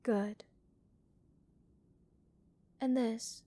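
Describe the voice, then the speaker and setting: A woman acts out a gentle female alien scientist in an ASMR audio roleplay. She speaks slowly and softly in a mellow, slightly high voice, measured and reassuring, with a curious clinical manner. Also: steady tone, no room sound. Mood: curious, studious. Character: gentle, curious female alien researcher examining a captured human. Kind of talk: soft-spoken ASMR roleplay acting.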